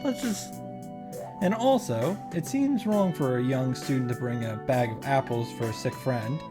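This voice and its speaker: A man's voice: mid-range voice, lively intonation, normal speed. A man giving a casual recap and reaction commentary, with exasperated asides, as host of a visual novel playthrough. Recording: music behind the voice. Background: game music.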